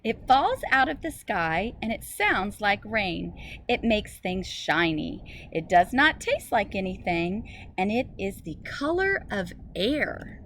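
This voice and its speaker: Expressive woman reading aloud to young children. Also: mid-range voice, takes it slowly. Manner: expressive, simple phrasing addressed to children. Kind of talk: picture-book read-aloud for young children. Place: outdoors in a school garden. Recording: noisy outdoor recording with ambient sound.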